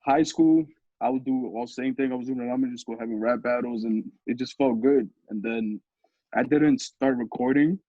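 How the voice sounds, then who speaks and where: A young man with a deep voice, a musician talking casually as an interview guest, rambling with restarts. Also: fairly quick, dry recording, lo-fi sound. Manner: relaxed, rambling with restarts and laughs.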